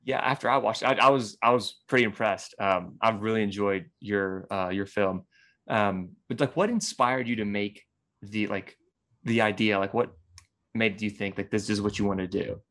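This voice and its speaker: A man's voice: fairly low pitch, moderate pace, fairly bright tone. A young adult man hosting an interview, asking loose questions full of "um" and "like". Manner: filler-heavy, loose questions. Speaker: host. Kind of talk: casual interview.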